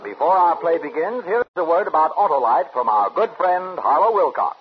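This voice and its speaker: A man's voice: fairly high pitch, expressive, normal speed, husky. A man announcing a program in a formal, portentous 1950s radio style with scripted, dramatic delivery. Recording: dull vintage radio, loud music behind.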